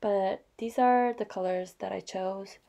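Soft-voiced woman, slightly hoarse, with gravel. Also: medium pitch, steady tone, measured pace. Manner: soft, chatty, with fillers and small apologies. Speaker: vlogger. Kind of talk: casual show-and-tell narration.

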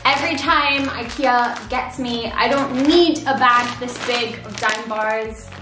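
A woman's voice: fairly high pitch, slow, reverberant, over music, poor audio. A woman in her twenties chatting in a bubbly, giggly way as she shows off her shopping at home. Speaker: vlogger. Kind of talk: casual haul chat. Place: living room at home.

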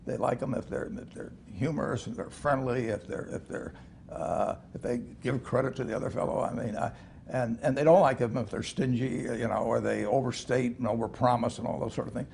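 An older man talking as an interview guest, halting with many restarts and chuckles, in a studio set like a living room. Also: mid-range voice, fairly even intonation, gravelly, darkish tone, a little hiss or hum. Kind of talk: casual interview talk.

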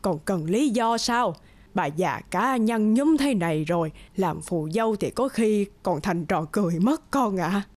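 A woman's voice: medium pitch, animated, unhurried, a little mellow, no echo. An actress playing a kind, doting old neighbour woman in a cartoon, with an affectionate, fondly chiding manner. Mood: modest, chiding. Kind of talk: cartoon voice acting.

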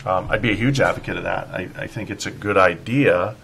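A man with a low-pitched voice talks through his concerns as a board member. It is informal meeting speech in an indoor room, with faint noise behind it. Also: brisk, gravelly, fairly bright tone. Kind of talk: informal discussion in a public meeting.

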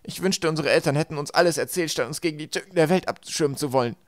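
A man's voice: on the high side, fast talker, no room sound, clean studio sound, voice pushed up, close to an everyday voice. A man voicing a worried, practical teenage girl in an audiobook, tight-throated and desperate. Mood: desperate, strained. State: tight-throated, scratchy from poison.

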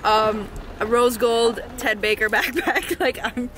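A woman's voice: fairly high pitch, steady tone, takes it slowly. A young woman vlogging on a mountain hike, chatting in a bubbly, giggly way into a handheld camera held at arm's length. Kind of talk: casual travel vlog chat. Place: outdoors on a windy mountain trail. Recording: loud wind and outdoor noise.

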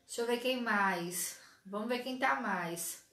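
A woman's voice: fairly high pitch, measured pace, a bit bright, echoey room, slight background noise. A woman in her forties hosting a live sewing stream from her craft studio, chatting and greeting viewers by name. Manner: chatty, greets viewers by name, frequent "certo?" tag questions. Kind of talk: live sewing tutorial chat. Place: small craft studio with shelves of dolls, indoors.

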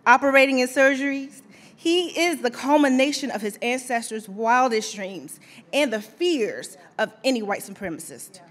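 A woman's voice: a little high, a bit bright. A middle-aged woman reading a formal introduction at a podium in an emphatic, declamatory way. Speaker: introducer, university alumna. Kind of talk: formal scripted introduction speech. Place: auditorium stage, indoors. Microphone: podium gooseneck microphone.

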